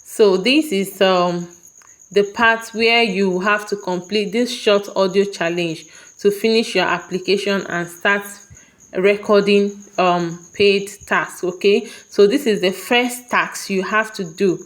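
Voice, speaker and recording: A woman from Nigeria with a Nigerian accent walks viewers through an online sign-up, one step at a time. She speaks unhurriedly at a mid pitch, pausing often to check they are following. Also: steady tone, low-quality recording. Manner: patient, repeats "okay?" to check in with viewers. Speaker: tutorial vlogger. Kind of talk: step-by-step screen walkthrough.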